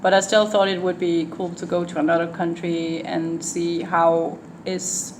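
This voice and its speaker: Danish girl in her 20s, danish accent, fairly low voice, lots of ums, noisy background hum. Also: level tone, unhurried, a little mellow. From Denmark. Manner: thoughtful, hesitant, many ums and restarts. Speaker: interviewee, exchange student. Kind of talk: casual interview conversation.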